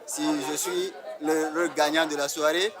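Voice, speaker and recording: Even-toned man with a slightly high voice. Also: unhurried, crowd noise. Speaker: magician contestant. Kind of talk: reflective interview to camera. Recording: audience noise behind voice.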